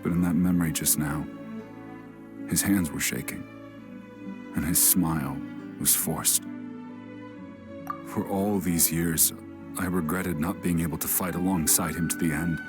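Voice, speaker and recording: An actor playing a grieving, regretful warrior in a video game, with a measured, weary and reflective delivery. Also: male voice, sits low, fairly even intonation, over music. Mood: sombre, regretful. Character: grieving, regretful adult male warrior, sombre and steadfast.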